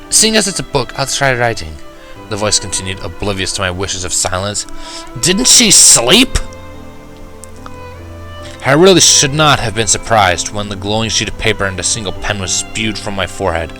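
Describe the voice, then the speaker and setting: A man narrating a first-person fan fiction story in an expressive, wry, dramatic manner. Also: on the low side, normal speed, music in the background, rough recording. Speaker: audiobook narrator.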